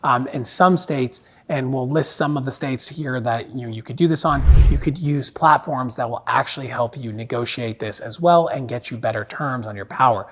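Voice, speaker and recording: Guy hosting a tips show, conversational explainer, medium pitched voice, talks like he's teaching you. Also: moderate pace, few pauses, fairly bright tone. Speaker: host. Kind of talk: explainer monologue giving money tips.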